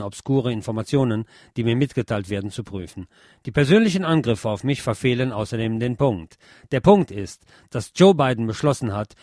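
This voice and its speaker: Guy reading out a translated interview, mid pitch, really dry close recording. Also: darkish tone, no echo. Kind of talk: scripted narration, reading aloud.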